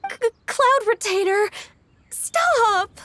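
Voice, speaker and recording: A woman playing a gentle, polite, modest young half-immortal secretary in an anime-style video game. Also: very high-pitched, lively intonation, extremely slow, a little hiss or hum, heavily acted. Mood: embarrassed. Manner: soft-spoken, courteous, earnest. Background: soft game music.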